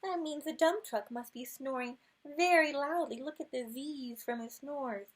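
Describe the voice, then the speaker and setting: A woman reading a rhyming children's picture book aloud expressively, unhurried, with hushed moments and little asides. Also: high-pitched. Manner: expressive, unhurried, drops to hushed whispers at good nights. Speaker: storytime reader.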